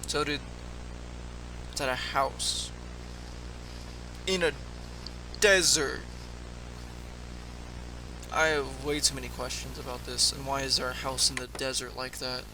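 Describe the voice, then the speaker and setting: A man's voice: medium pitch, fairly even intonation, measured pace, pauses often, noisy background. A man giving casual, off-the-cuff reaction commentary as a host, musing and questioning aloud as he goes.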